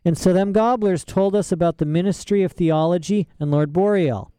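A man's voice: high voice, normal speed, warm tone, clear, resonant tone, dry recording, pitched above the performer's own voice, natural voice. A man voicing a small, wounded female ferret spirit who talks in an urgent, strained way with rustic grammar.

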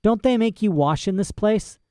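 A man's voice: high voice, animated, moderate pace, dark tone, smooth, no room sound, studio quality, voice pushed up. A man reading aloud plays a stern, sardonic aristocrat who questions a child in curt, probing lines.

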